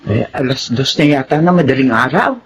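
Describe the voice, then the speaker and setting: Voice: mid-range voice, very animated, fairly quick, background noise. An actor playing a fretful, caring older Filipina household nanny in a radio drama, with a Filipino accent. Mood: worried, grumbling.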